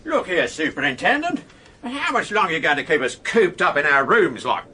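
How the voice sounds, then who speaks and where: An actor playing a blunt, impatient middle-aged gentleman in a radio drama. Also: fairly high pitch, very animated, brisk, noisy background. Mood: indignant.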